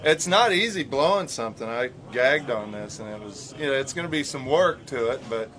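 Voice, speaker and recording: A man's voice: mid-range voice, animated, fairly quick, a bit bright. A man bantering casually and jokingly as a staff member on a comedy radio show. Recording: noisy with crowd chatter behind.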